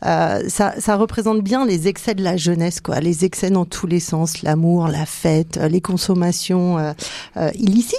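A woman's voice: on the low side, steady tone, normal speed, creaky, dark tone, dry recording. A woman in her forties, a film-review guest, talking enthusiastically with many hesitations. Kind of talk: casual chat.

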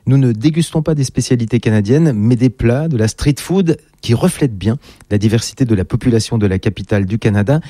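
A man's voice: mid-range voice, animated, a little fast, dark tone, dry recording. Curious radio reporter man. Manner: curious, agreeable, short reactions. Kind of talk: casual interview on location.

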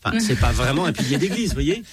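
A man with a husky, breathy voice speaks rapidly. He is a priest chatting as a guest, leaping into anecdotes and tripping over his words. The sound is dry and close. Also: on the high side, no echo. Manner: animated, stumbling restarts, anecdotal. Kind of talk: casual talk show conversation.

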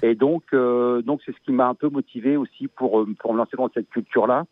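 A Belgian man in his fifties, a farmer guest on a radio show, talking haltingly with many fillers and restarts. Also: medium pitch, fairly even intonation, dry recording. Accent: Belgian French. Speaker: asparagus grower guest. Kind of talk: radio interview, casual chat. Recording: tinny phone line.

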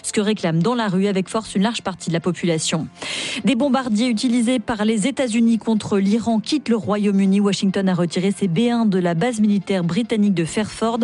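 A woman's voice: mid-range voice, fairly quick, dark tone. A woman presenting a scripted radio news bulletin.

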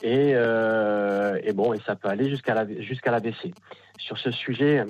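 Low-voiced man on a dull phone line. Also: steady tone, a little mellow, dry recording. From southern France. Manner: halting, many restarts and fillers, confiding. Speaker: caller. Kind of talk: phone-in testimony. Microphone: mobile phone.

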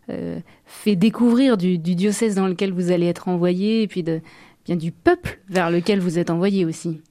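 A woman's voice: mid-range voice, warm tone, no echo. A woman working as an interviewer, asking questions in a conversational way.

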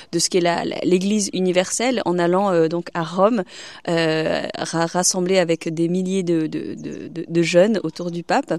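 A woman's voice: on the low side, darkish tone, dry recording. A young woman from China, a religious sister, speaking hesitantly in a radio interview with many fillers and restarts.

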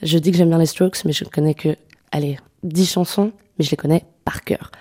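A young woman singer-songwriter answering interview questions, speaking softly with many hesitations and restarts. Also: low-pitched, fairly even intonation, a little fast. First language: French. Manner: soft-spoken, hesitant, many fillers and restarts. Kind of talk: radio interview conversation.

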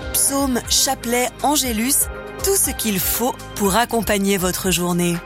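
A woman reads a radio promotion in an upbeat, polished way. Her voice sits fairly high. Music plays clearly underneath her. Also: animated, measured pace. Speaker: ad voice-over. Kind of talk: scripted radio ad read.

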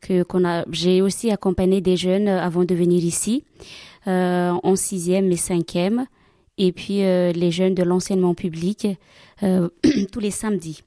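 A woman's voice: on the low side, steady tone, measured pace, warm tone, no echo, clean studio sound. A woman from Madagascar whose first language is Malagasy, a religious sister answering interview questions in a hesitant way with many fillers.

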